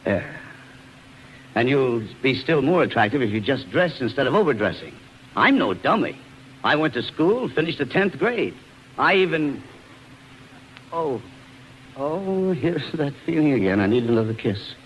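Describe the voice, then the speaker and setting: An actor playing a brash, smooth-talking show boss, teasing, cocky and bossy, in 1940s radio acting style. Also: male voice, mid-range voice, very animated, measured pace, pauses often, muffled recording. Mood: flirting, cocky. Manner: wisecracking, cocky. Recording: noisy 1940s radio broadcast recording.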